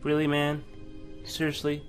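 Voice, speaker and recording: A man's voice: a little high, monotone, measured pace. A man hosting a let's play, reading game narration aloud and adding casual, joking commentary. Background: music.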